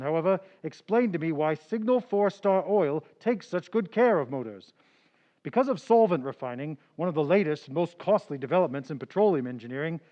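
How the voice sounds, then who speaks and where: An actor playing a smooth male radio announcer reading a period commercial. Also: highs cut off. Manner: polished sales-pitch delivery.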